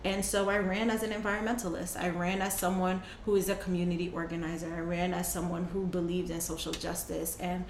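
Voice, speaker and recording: A woman's voice: lowish voice, little pitch movement, fairly quick, hardly any pauses. A young woman, a guest on an interview show, telling her story in an earnest, conversational way and laughing easily. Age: young adult. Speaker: guest, climate justice program manager. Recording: echoey room with faint noise.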